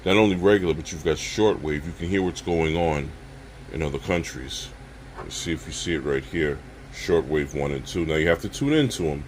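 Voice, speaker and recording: A man with a low voice talks through a product in a casual, halting way, addressing viewers directly as a reviewer. There is clear steady noise behind him, like a room hum.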